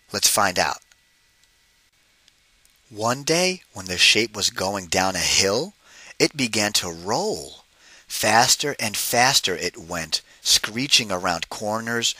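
A man reading a picture book aloud to children as a teacher, deliberate and emphatic, addressing them directly. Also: on the low side, pushed and bright, no echo, poor audio. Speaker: read-aloud narrator and teacher.

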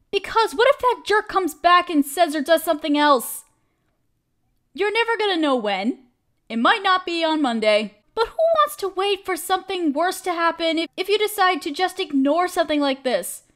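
A woman acts out a protective, caring female best friend with a high voice. She speaks directly and intimately to one listener, as in a comfort roleplay. Her delivery is earnest and reassuring. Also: a bit bright, exaggerated voice. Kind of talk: audio roleplay, scripted comforting monologue.

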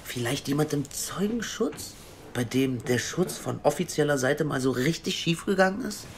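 An actor playing a worried, cautious investigator in an audio drama, speaking frankly and haltingly. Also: male voice, on the high side, animated. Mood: wary, reluctant. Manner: halting, earnest. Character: worried, cautious male investigative team member, frank and urgent. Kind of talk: naturalistic German audio drama acting. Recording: clearly noisy background.

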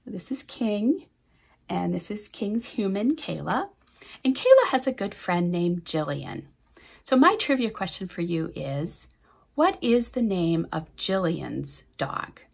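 Woman in her 50s, raspy fry in her voice, casual kids trivia. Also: medium pitch, very animated, takes it slowly. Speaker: children's author. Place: home office.

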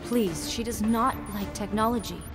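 A woman playing an anxious, pleading, gentle young woman in a video game. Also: medium pitch, moderate pace, natural voice. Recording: game music underneath.

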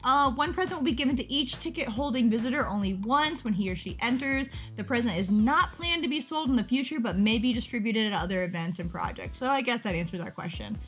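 An excitable young woman chatting at her webcam, jumping between thoughts and laughing at herself. Her voice sits a bit high and sounds tired. Faint music plays softly underneath. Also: fast, few pauses. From United States. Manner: excitable, rambling, self-interrupting, laughs often. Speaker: model kit vlogger. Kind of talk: casual chat over a webpage.

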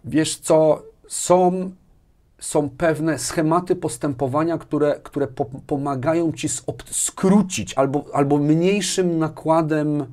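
A man's voice: fairly high pitch, takes it slowly. A man in his forties explaining in a casual interview, repeating words and often checking with a questioning tag. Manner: animated explaining, repeats words, tags sentences with a questioning "right?". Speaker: interview guest, sales executive. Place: small furnished indoor studio. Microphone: lapel mic.

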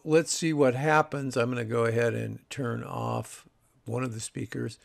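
A man's voice: medium pitch, fairly even intonation, moderate pace, creaky. A man presenting an audio review, explaining technical details in a casual, informal way.

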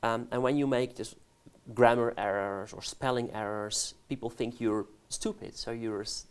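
A man's voice: medium pitch, fairly even intonation, smooth. A man in his forties with a Dutch accent, answering interview questions in a studio, with frequent restarts and fillers. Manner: halting, many restarts and fillers, laughs easily. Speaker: guest researcher. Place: studio set. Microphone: lapel.

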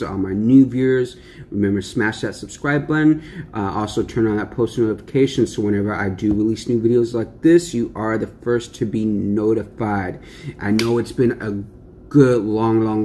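A man's voice: fairly low pitch, a little mellow, slight background noise. A young man chatting casually to the camera in an eating-show vlog, slangy and rambling with lots of fillers. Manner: laid-back, slangy, rambling with many fillers. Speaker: mukbang vlogger.

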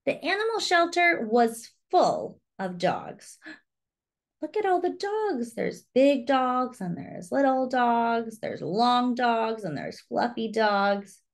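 A woman reads a children's storybook in a fairly high, very lively voice. She takes her time over each phrase and speaks simply, as host to young listeners. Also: slow pace. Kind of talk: expressive children's read-aloud with asides to young listeners.

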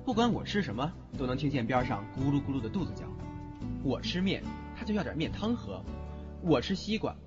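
A man's voice: mid-range voice, very animated, fairly quick, close to an everyday voice. A man acting an aloof, grumbling young university student in an audio drama, with a blunt, exasperated manner. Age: young adult. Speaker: lead actor in a Chinese audio drama. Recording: slightly dull recording with music behind.

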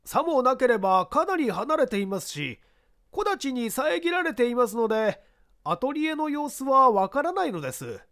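Very high-pitched, helpful witness acted by a man. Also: very animated, moderate pace, a bit bright, heavily acted. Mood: matter-of-fact. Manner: polite, explanatory. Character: plain-spoken, helpful household witness in mystery. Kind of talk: dramatized mystery story reading.

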